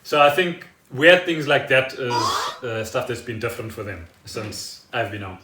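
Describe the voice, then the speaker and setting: A man's voice: medium pitch, lively intonation, moderate pace, bright, forceful tone, slight background noise. Man, roomy and distant sound. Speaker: podcast co-host. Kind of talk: casual podcast chat. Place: indoors at home.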